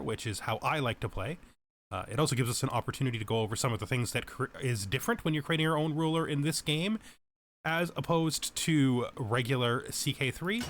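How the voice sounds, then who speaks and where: Man narrating a game tutorial, mid-pitched. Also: fairly bright tone, slight background noise. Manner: methodical, thorough explainer. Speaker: game tutorial host, player. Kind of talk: explanatory let's play commentary.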